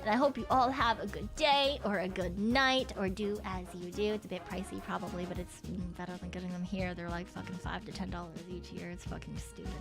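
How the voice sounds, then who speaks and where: A woman's voice: lowish voice, steady tone, brisk, creaky, few pauses, over music. A woman chatting casually on a live stream with a slight frog in her throat. She rambles, swears casually and laughs often.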